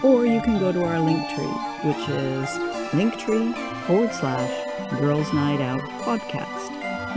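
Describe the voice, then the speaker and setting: A woman reading scripted closing credits as the writer-director of a podcast. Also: sits low. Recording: loud music behind voice. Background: loud background music.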